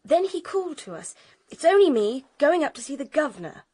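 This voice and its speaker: An actress playing a forthright, composed daughter in a radio drama, answering questions directly. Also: female voice, sits high, very animated, a little fast, a little mellow.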